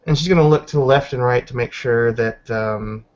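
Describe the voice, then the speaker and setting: A man with a mid-pitched voice narrates an improvised roleplaying game. He describes actions haltingly, piece by piece, and the recording is dull and lo-fi.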